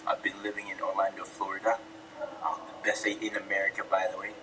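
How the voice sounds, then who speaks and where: A man's voice: deep voice, monotone, fast. A young man from Zambia answering interview questions casually, with many ums and the odd laugh. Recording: distant mic, noisy ambience.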